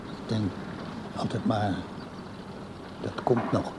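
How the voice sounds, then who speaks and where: A man's voice: on the low side, fairly even intonation, takes it slowly, gravelly, heavy background noise. An actor playing a lovesick, stubborn and evasive older father in a radio drama, defensive and wistful. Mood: wistful, pleading.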